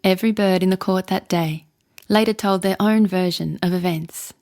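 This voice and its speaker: A woman narrating a children's bedtime story in an expressive, measured storytelling manner. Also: on the low side.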